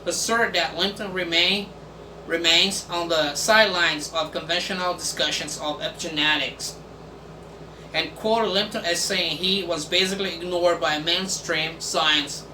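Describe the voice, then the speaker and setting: A man whose first language is Portuguese, hosting a casual video and reading text aloud in English with many pauses and fillers. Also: a little high, fairly even intonation. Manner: halting, many fillers and restarts. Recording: echoey room from far off, faint background noise.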